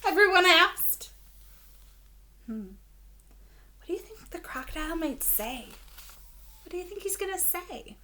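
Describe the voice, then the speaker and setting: A woman teacher reading a children's story aloud, expressive and deliberate, prompting young listeners with questions. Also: extremely high pitch, slight background noise.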